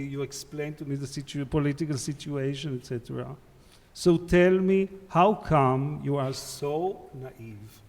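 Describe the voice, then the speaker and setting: An Israeli-accented man interviews a writer at a conference, speaking slowly with many "uh"s as he gathers his thoughts. His voice sits a little high. The sound rings with the long reverb of a lecture hall. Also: darkish tone. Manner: hesitant, many fillers, pauses to find words.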